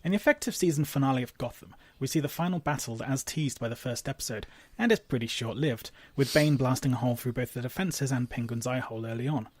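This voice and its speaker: A man narrating a scripted recap in a steady middle voice, dropping dry, sardonic jokes. The recording is dry and close. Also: medium pitch, fast talker, hardly any pauses, no echo. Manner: sardonic, deadpan asides.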